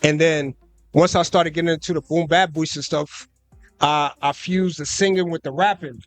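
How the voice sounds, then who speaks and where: A man's voice: on the high side, normal speed, no echo, soft background music. Easygoing young man on a radio interview. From United States. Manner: relaxed, loose, with many fillers and "you know what I'm saying". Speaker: guest rapper and cameraman. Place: live concert radio booth.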